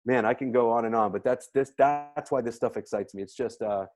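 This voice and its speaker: A man's voice: mid-range voice, fairly quick, a little mellow. A man giving an enthusiastic, emphatic product presentation as a health practitioner, repeating points as he explains. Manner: emphatic, repetitive, salesy explaining. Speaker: health practitioner promoting supplements.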